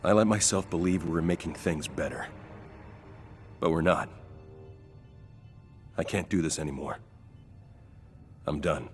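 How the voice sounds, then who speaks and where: An actor playing a disillusioned speedster hero with a weary, dryly sarcastic manner. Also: male voice, a bass voice, unhurried, pauses often, faint music behind.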